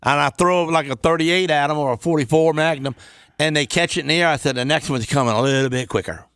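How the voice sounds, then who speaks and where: A middle-aged man chatting casually and bluntly, with rough grammar and a folksy way of talking. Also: fairly high pitch, fast, fairly bright tone, no echo, studio quality. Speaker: business owner as talk show guest. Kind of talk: casual unscripted banter.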